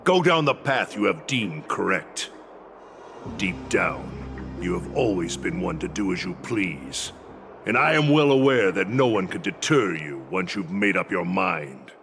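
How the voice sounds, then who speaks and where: An actor playing a stern old warrior retainer in a video game, proud and scornful, with a gravelly voice and grave, formal delivery. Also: male voice, deep voice, expressive, over music, exaggerated voice. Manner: grave, formal, deliberate oratory. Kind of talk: dramatic video game acting.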